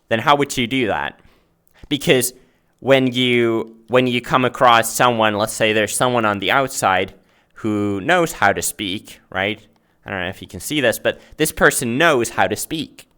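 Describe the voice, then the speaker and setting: A man's voice: medium pitch, sharp tone, clear, resonant tone. A man whose native language is Finnish gives an informal explanatory lesson, searching for words and often checking in with "right?". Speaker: host and math teacher. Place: virtual reality chat world.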